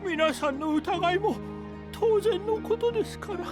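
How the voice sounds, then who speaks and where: Meek anime merchant: very high pitch, hesitant, formal, humble, faint music behind. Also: male voice, unhurried. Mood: humble, grateful. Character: timid, humble, very polite newcomer merchant. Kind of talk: anime audio drama acting.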